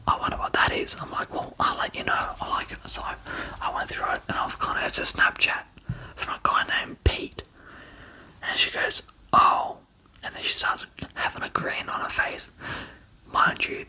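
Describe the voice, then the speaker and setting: A man's voice: moderate pace, pushed and bright, rough recording. A man telling a personal story in a whisper, swearing often and using many fillers.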